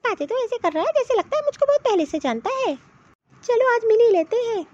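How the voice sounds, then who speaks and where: A tiny, extremely high voice for a vain cartoon young woman acted by a woman. She is fussy and scornful, complaining in theatrical, exclamatory asides. The sound is somewhat dull and lo-fi. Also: very animated, fairly quick, darkish tone. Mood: chatty. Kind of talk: Hindi comedy cartoon dialogue.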